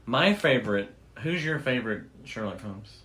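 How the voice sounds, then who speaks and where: Medium-pitched man chatting as co-host. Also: lively intonation, normal speed, pushed and bright. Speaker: podcast co-host. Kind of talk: casual explanatory chat.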